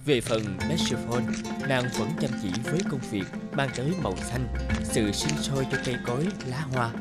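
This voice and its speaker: A man's voice: mid-range voice, normal speed, loud music behind the voice. A man narrating a scripted fairy tale.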